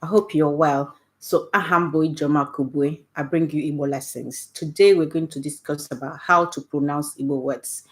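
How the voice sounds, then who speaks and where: Nigerian woman, middle-aged, low voice, patient teacher tone, keeps checking in with "okay?". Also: fairly even intonation, moderate pace, hardly any pauses. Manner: friendly, patient, punctuates points with "okay?". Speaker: Igbo language teacher. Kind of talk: casual online language lesson.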